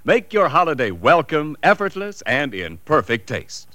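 A polished American man sells in the style of a 1940s radio announcer. His delivery is emphatic and highly melodic, with a crisp forceful tone and a dry, close sound. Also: very animated, normal speed, dry recording. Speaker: commercial announcer. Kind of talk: 1940s radio advertisement, scripted.

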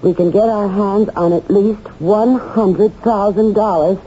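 Radio drama role, female actor: nervous excited diary reader, mellow mid-range voice, dull old recording. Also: mid-range voice, a little slow, slight background noise, lightly acted. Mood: reading aloud intently. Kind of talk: 1970s radio drama acting.

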